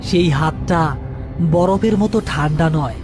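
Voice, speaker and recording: A man's voice: on the high side, measured pace, over music. A man narrating a scripted horror story as storyteller.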